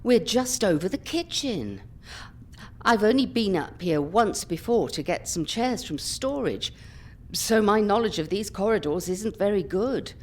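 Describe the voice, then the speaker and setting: An actress playing a brisk, scolding old care-home ringleader in an audio drama. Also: female voice, medium pitch, lively intonation. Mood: urgent.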